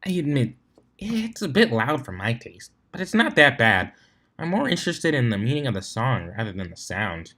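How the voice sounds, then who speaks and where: A man's voice: medium pitch, big pitch swings, moderate pace, pushed and bright. A man voicing a studious, earnest young female unicorn pony who explains things in a bookish way. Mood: sheepish.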